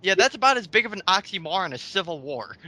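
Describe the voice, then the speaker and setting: A man with a high, animated voice that swings around as he talks. He is casual and mocking, delivering blunt, sarcastic commentary with plenty of swearing. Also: fast, low-quality recording.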